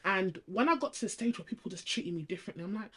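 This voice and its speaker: A young woman, co-hosting a casual podcast and telling her story in a quick, animated way. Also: mid-range voice, husky. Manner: fast, animated storytelling with frequent laughs. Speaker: podcast co-host.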